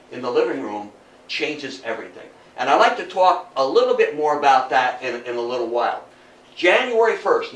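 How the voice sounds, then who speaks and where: An older man giving a public history lecture in a theatrical, emphatic storytelling manner, with dramatic pauses and rhetorical questions. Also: on the high side, fairly bright tone. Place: indoor talk room before an audience. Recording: roomy, distant mic, faint noise.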